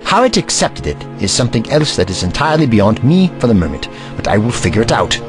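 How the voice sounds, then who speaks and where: A man playing an eccentric, brainy time traveller in an audio drama, kindly but testy, musing aloud in a wordy, gently pedantic manner. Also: medium pitch, sing-song, fast talker, over music, lightly acted. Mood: puzzled, determined.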